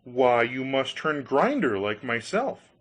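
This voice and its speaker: A man's voice: medium pitch, very animated, slow pace. A man voicing a sly, jovial scissor grinder in a storybook tale, hearty and persuasive. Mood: coaxing. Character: sly, jovial male scissor grinder, smooth-talking tradesman. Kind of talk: storybook character voice.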